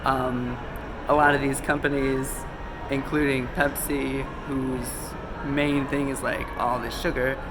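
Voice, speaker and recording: A man's voice: mid-range voice, little pitch movement, measured pace, heavy background noise. A man hosting a conspiracy video, talking casually with lots of ums, a little sick and losing his voice. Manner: loose, chatty, many ums and self-corrections. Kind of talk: casual rambling talk to camera. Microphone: phone camera.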